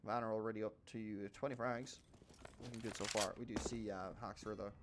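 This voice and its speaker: Excitable man casting a shooter game. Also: fairly quick, heavy background noise. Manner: rises in pitch and volume during fights, calm between rounds. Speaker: esports caster. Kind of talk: live play-by-play commentary. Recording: game gunfire audible behind.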